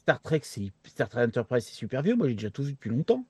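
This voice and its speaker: A man's voice: mid-range voice, big pitch swings, fast talker, a little mellow, breathy edge, no room sound. A man with a mid-range voice chats casually as a talk-show co-host. The sound is dry and close, with very little room around him. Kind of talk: casual talk-show chat about anime.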